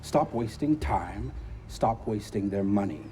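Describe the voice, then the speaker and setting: A man playing an imperious, sardonic military tycoon in a video game cutscene, speaking with deliberate, condescending dryness. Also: lowish voice, expressive, warm tone. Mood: stern, threatening. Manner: condescending, deliberate, dryly mocking.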